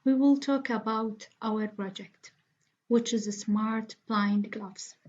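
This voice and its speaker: A dull, lo-fi recording of a woman presenting a student project from a script. Her fairly high voice is husky, and her delivery is halting. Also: steady tone, darkish tone. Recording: dull, lo-fi microphone sound.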